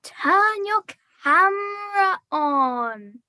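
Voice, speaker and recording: A woman's voice: very high voice, animated, drawn-out words, pushed and bright, no echo, exaggerated voice. A young woman voicing a bossy, exasperated puppet in a comedy puppet show, with a stern, scolding manner. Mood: impatient. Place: video call.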